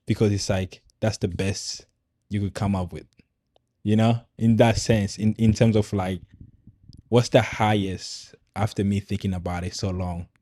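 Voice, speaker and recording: Low-voiced, mellow man chatting casually. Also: steady tone, measured pace, no room sound, studio quality. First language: Swahili. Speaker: podcast host. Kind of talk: casual conversational podcast chat.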